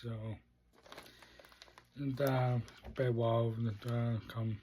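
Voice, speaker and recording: A man hosting a casual unboxing vlog, speaking in halting, short fragmented phrases. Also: medium pitch, level tone, dark tone, slight background noise. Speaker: unboxing video host.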